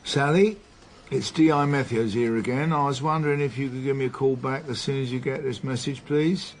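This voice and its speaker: A man's voice: mid-range voice, steady tone, fairly quick, close to an everyday voice. Man playing commanding senior detective, background noise. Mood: determined, exasperated. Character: determined, dry-witted senior male police detective. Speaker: actor in a radio drama. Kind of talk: naturalistic radio drama acting.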